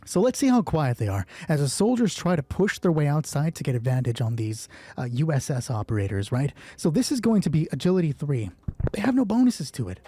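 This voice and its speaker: A man's voice: on the high side, very animated, a little fast, dark tone, breathy edge, dry recording. A man narrating a solo tabletop game as game master, thinking aloud and asking himself questions that he then answers. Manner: deliberating, asks himself questions and answers them.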